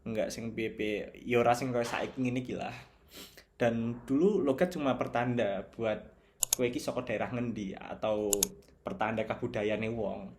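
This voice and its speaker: A man's voice: mid-range voice, steady tone, a little slow, darkish tone, slight background noise. Reflective man with a Javanese accent. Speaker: video essayist. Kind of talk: reflective video essay commentary.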